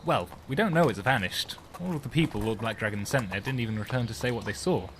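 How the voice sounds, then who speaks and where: A man's voice: medium pitch, animated, fast talker, a bit bright, lightly acted. A man playing an earnest, wary half-elf bard in a fantasy audio drama, with a dry manner. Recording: noisy night-time cricket ambience behind.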